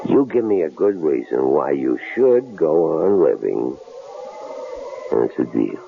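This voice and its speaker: An actor in a 1970s radio drama playing a menacing killer holding captives, taunting them with coolly sardonic, drawling questions. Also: male voice, extremely low pitch, very animated, measured pace, vocal fry, exaggerated voice. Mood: grim. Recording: tinny, noisy old radio broadcast recording.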